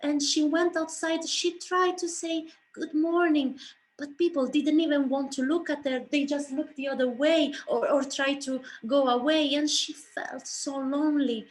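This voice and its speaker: A woman's voice: high voice, fairly even intonation, unhurried, rough recording. A woman speaking English as a second language, telling a story expressively to an audience in a theatrical way. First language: not English. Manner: theatrical, acting out dialogue. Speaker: speaker, writer and director. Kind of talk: expressive oral storytelling in a presentation.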